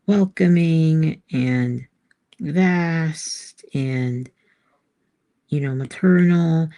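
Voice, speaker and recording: Woman artist, casual and musing, slow delivery with heavy vocal fry, hesitant pauses. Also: low voice, mellow. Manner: musing, hesitant, trailing off between phrases. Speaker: artist and author, host. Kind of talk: casual thinking aloud while drawing.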